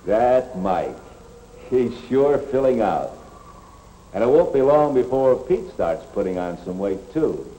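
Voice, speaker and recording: A man's voice: mid-range voice, sing-song, slow, dark tone. A man playing a patient, plain-spoken middle-aged school coach in a 1950s educational film, explaining things earnestly. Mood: reflective narration. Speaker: coach and narrator. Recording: echoey, noisy 1950s film soundtrack.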